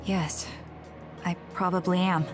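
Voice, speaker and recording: An actress playing a composed, blunt young soldier in an English game dub, with a measured, matter-of-fact delivery. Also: female voice, lowish voice, little pitch movement, music in the background, lightly acted. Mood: calm, frank.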